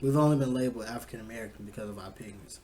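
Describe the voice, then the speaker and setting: A man's voice: medium pitch, rapid-fire, darkish tone. A young adult man co-hosting a podcast, blunt and heated, swearing freely in casual group chat. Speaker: podcast co-host.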